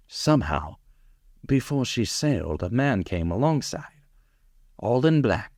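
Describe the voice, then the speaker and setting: A man's voice: mid-range voice, a little mellow, no echo, studio quality. A man playing an earnest diarist, narrating journal entries with clipped, grave urgency in a radio drama. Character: earnest male diarist reporting a tense pursuit.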